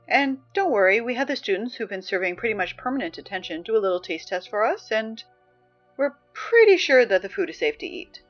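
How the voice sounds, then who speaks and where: A woman acting as an exasperated, wry high school student reading morning announcements in an apocalypse audio drama, halting and conversational. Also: fairly bright tone, dull audio. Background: music.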